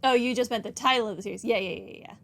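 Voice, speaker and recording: A woman's voice: fairly high pitch, rapid-fire, fairly bright tone, a little hiss or hum. An American woman giving casual commentary while playing a game, chatty and full of wry asides. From United States. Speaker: gamer narrating a playthrough. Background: game music.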